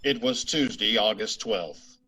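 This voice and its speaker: A man's voice: fairly low pitch, unhurried, pushed and bright, slightly rough, exaggerated voice. A man playing a terse, matter-of-fact police detective sergeant in an old-time radio drama, in short clipped sentences.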